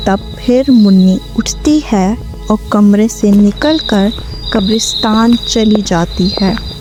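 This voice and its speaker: Very slow, mellow woman narrator. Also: medium pitch, over music. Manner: very slow, measured telling. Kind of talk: storybook narration.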